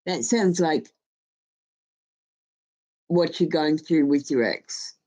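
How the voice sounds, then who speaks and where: A woman's voice: deep voice, slow, dark tone, low-quality recording. A woman giving a casual live tarot reading, offering blunt, informal advice with some swearing. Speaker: tarot reader and live stream host.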